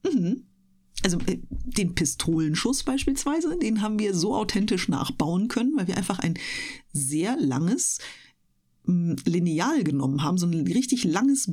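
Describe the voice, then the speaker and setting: A woman with a slightly husky voice, chatting casually as an interview guest. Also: medium pitch, a little mellow. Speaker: interview guest, audiobook narrator and speaker. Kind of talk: casual chat.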